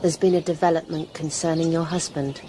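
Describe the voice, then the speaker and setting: An actress playing a composed, businesslike police detective in a radio drama, speaking formally. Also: female voice, sits low, level tone, a little fast, warm tone, lightly acted. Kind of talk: modern radio drama acting. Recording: clear background ambience noise.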